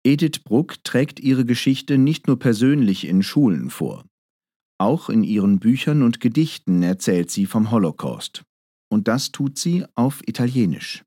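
Man, documentary narrator, neutral, mid-pitched, dead-dry studio sound. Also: sing-song, measured pace, warm tone, husky, dry recording. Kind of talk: scripted narration.

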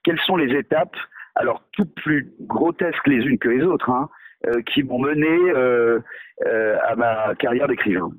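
A man's voice: medium pitch, unhurried, no room sound, rough recording. A male novelist in a radio interview, talking hesitantly with many fillers and restarts, mocking himself. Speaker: novelist guest.

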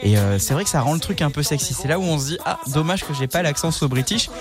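A man's voice: a little high, animated, fast talker. Jokey man hosting morning radio. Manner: jokey, laughs often, many fillers. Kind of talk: lively morning radio banter. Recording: music bed under voice.

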